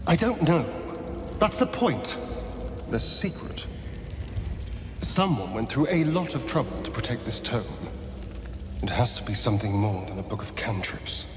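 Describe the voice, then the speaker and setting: A man's voice: medium pitch, sing-song. A suave vampire spawn from a fantasy game, with music behind him in a reverberant space. His speech swoops dramatically, arch and bitter, lingering on key words as if centuries of resentment sit under the polish. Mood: musing, uneasy. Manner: theatrical, arch, drawn-out emphatic phrasing. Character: suave, bitter male vampire spawn, two centuries old, former magistrate. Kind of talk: theatrical video game dialogue.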